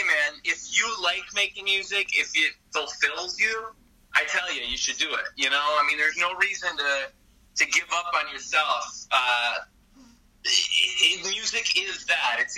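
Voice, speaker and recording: Expressive guy chatting on a podcast, bright sharp voice, bit far from the mic. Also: fairly high pitch. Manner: expressive, animated talker. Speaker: podcast host. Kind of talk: casual chat.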